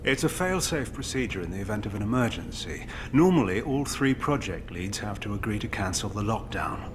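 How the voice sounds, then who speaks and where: Actor as grave, defensive male scientist, low voice. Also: expressive, a little fast, noisy background, close to an everyday voice. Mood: grave, explanatory. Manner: earnest, expository, grave, emphatic. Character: defensive male scientist justifying his disastrous experiment. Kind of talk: dramatic video game dialogue acting.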